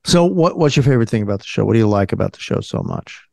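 Man, podcast host, from New Jersey, gravelly, relaxed conversational restarts. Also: fairly low pitch, fast, dry recording, studio quality. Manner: conversational, trailing restarts and fillers. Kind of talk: casual interview chat.

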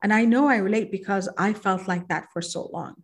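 A woman's voice: mid-range voice, brisk. Reflective woman hosting a podcast. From Egypt, raised in Kuwait. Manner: reflective, personal, with restarts. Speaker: podcast host. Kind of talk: conversational interview.

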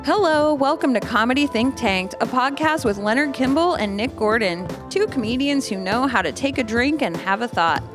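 A woman's voice: a little high, lively intonation, normal speed, few pauses, over music. A woman reading a scripted podcast intro in an upbeat announcing manner.

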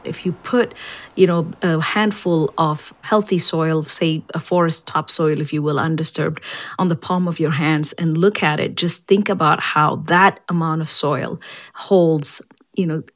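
A woman scientist speaking as an interview guest, explaining in a measured way and stressing key points. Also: sits low, fairly even intonation.

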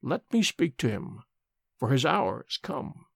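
A man voicing an ancient, sharp-tongued old nurse woman, speaking slowly in a high, quavering voice. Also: big pitch swings, creaky, breathy edge, no room sound, clean studio sound, full character voice. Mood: stern.

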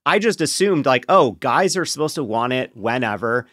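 Male podcast guest, medium pitch, candid and explanatory, measured delivery, dry close recording. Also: expressive, a bit bright, dry recording. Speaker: podcast guest, co-author and partner of a sex therapist.

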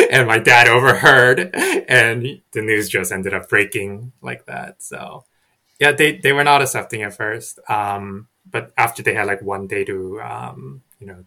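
A young man from Korea, a native Korean speaker, talking as a podcast guest in a soft, hesitant way with many fillers and restarts. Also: on the low side. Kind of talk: casual interview chat.

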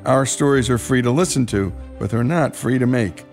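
A man's voice: mid-range voice, normal speed, darkish tone, faint music behind. An American man hosting a radio storytelling show, reading a scripted outro and appeal to listeners.

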